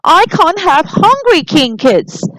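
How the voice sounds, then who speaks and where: A woman acts out a flustered storybook man who frets and screeches. The voice jumps high and swoops around in anxious, drawn-out exclamations. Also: very animated, a bit bright, dry recording, full character voice, rough recording. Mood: panicked, fretful. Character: excitable, easily panicked man fretting as a storybook host.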